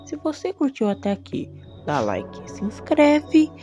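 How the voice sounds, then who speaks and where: Video essay host, Brazilian accent. Unhurried, dramatic and emphatic, with wide melodic swings and music underneath. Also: high-pitched, huge pitch swings, dark tone. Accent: Brazilian Portuguese. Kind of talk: enthusiastic video commentary with scripted narration.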